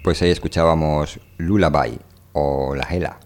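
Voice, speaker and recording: Low-voiced man hosting a discussion. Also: fairly even intonation. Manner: halting, rephrases and repeats himself. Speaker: host. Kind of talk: casual book and music discussion.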